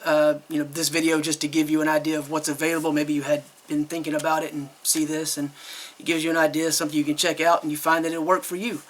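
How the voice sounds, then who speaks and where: A man's voice: on the high side, pushed and bright. A man giving a casual, unscripted product review in a chatty, rambling, candid way.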